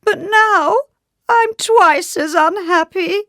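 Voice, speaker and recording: A woman voicing a miserable storybook king who sobs and wails his words in a drawn-out way. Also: fairly high pitch, big pitch swings, no room sound, studio quality, voice pushed up, full character voice. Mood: wailing, miserable. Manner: sobbing, drawn-out wailing. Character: tearful, wailing king of a miserable land.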